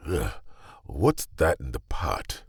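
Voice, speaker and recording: A man's voice: very deep voice, huge pitch swings, takes it slowly, slightly rough, no echo, clean studio sound, exaggerated voice. A man playing a stern, terse knight giving orders in a fantasy audio drama. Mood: terse, commanding. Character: stern, no-nonsense male knight scout.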